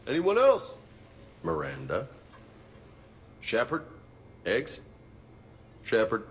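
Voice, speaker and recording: An actor playing a cheery, boisterous soldier in a video game, calling out in a matey way. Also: male voice, mid-range voice, wildly swooping pitch, extremely slow, a little hiss or hum, full character voice. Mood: cheerful, eager. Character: cheery, boisterous male soldier pushing breakfast.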